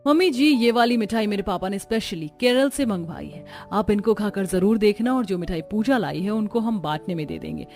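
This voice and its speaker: A woman's voice: medium pitch, very animated, fairly quick, few pauses, darkish tone, soft background music. A woman voicing a haughty young rich daughter-in-law in a cartoon story, condescending and showing off. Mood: scornful.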